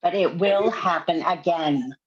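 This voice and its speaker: A woman's voice: lowish voice, unhurried, crowd noise. An older woman asking a question on a video call, speaking emotionally and repeating her words. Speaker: audience member in Q&A. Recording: dull lo-fi video call audio.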